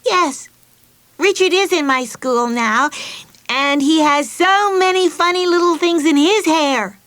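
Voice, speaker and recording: A woman's voice: unhurried, fairly bright tone, dry recording. A woman voicing Betsy, a stubborn little girl who cries easily, in a storybook reading. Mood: insistent. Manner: high, insistent, sobbing at times.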